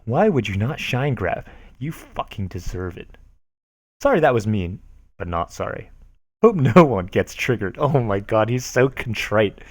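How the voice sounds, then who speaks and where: A man on a casual stream, reading forum posts aloud in a mocking, animated voice with frequent laughter. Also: lowish voice, a little slow, no echo. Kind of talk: casual dramatic reading with commentary.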